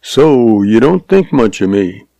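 A man's voice: mid-range voice, big pitch swings, slow, vocal fry, warm tone, no room sound, full character voice. A man voicing a stoic, hard-jawed gunfighter in a western story, terse and unemotional.

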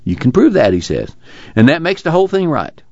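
A man voicing an earnest, excitable but weary labor organizer in an audiobook, pleading in a folksy dialect. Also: a little high, a little fast, dry recording, dull audio, pitched above the performer's own voice. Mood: weary, reflective. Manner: rural uneducated American dialect, pleading and preaching.

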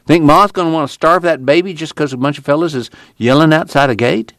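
A man's voice: a little high, a little fast, fairly bright tone, no room sound, clean studio sound, pitched above the performer's own voice. A man voicing a weary, plainspoken young farm worker with a drawl.

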